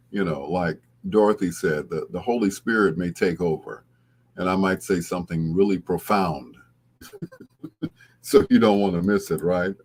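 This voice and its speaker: A man hosting a late-night music radio show, chatting casually and informally to his live listeners in a husky voice. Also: low-pitched, a little mellow. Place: broadcasting on radio and live video stream.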